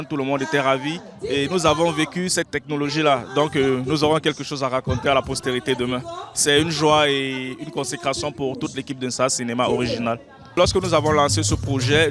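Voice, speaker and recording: A man, a film director, answering an interview outdoors at a festival in a proud, even tone with some hesitations. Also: on the high side. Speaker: series director.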